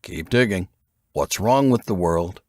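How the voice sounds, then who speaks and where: Male reader as aging spy mentor for an audiobook: low, stern, deliberate, commanding, always probing. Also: lively intonation, a little mellow, dry recording, studio quality. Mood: stern, challenging. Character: stern, probing old male intelligence veteran. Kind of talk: audiobook character dialogue.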